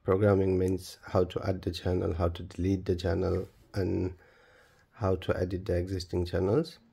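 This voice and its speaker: A man presenting a step-by-step tutorial in a plain, halting, instructional manner. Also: sits low, monotone, vocal fry, warm tone, slightly rough. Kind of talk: step-by-step spoken tutorial, unscripted.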